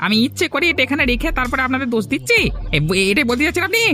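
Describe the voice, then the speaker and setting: A woman doing a sharp, fast-talking accusing customer. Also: sits high, sing-song, faint music behind, exaggerated voice. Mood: indignant. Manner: indignant, scolding. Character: loud, accusing customer stirring up trouble. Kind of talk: cartoon story voice acting.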